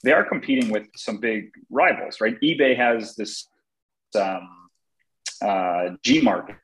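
A man's voice: fairly low pitch, takes it slowly, a bit bright, poor audio. A man co-hosting a casual business discussion, thoughtful and hesitant, with frequent fillers.

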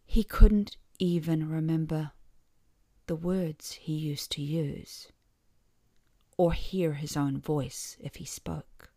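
A woman reading a scripted first-person short story with wry expression, letting some phrase endings drop softly. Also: low-pitched, steady tone, takes it slowly, no echo, clean studio sound.

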